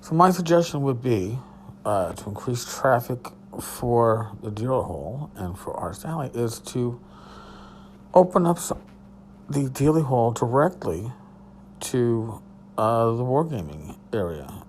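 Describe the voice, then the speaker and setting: A tired man with a hoarse voice, hosting a casual solo podcast from a hotel room and rambling loosely with a bit of swearing. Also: mid-range voice, very animated, a little slow, slight background noise. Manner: rambling, profane, restarts. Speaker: podcast host.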